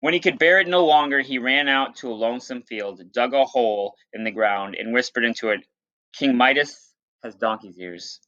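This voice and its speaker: A man with a bright, forceful voice reads a story aloud in the manner of a teacher. His delivery is informal and instructive, at a middling pitch. The recording is slightly dull and lo-fi. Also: moderate pace. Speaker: teacher reading aloud to pupils. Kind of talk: read-aloud storytelling with plain explanatory asides. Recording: dull, lo-fi sound.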